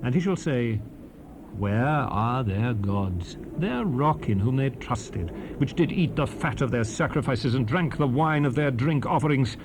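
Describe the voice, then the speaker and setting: A man's voice: mid-range voice, expressive, a little slow. An actor playing Moses as a stern, fervent aged prophet, declaiming King James scripture in a solemn, emphatic, oratorical way. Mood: wrathful, proclaiming. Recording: noisy 1970s cassette rip with hiss.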